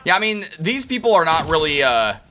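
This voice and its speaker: Young male gaming creator, lively and rapid, bright edgy tone, worn voice, faint noise behind. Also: fairly high pitch. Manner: excitable, quick to rage and laugh. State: losing his voice. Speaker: gaming youtuber. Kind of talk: live gameplay commentary.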